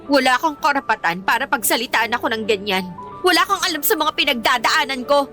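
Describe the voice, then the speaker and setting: Casting: rich young mean girl, Filipino accent, combative and insecure, piercingly bright, very lively delivery. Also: high voice, a little fast, faint music behind. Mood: indignant. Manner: haughty, combative, indignant. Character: rich, spiteful young female bully, haughty and insecure. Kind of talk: Filipino radio drama acting.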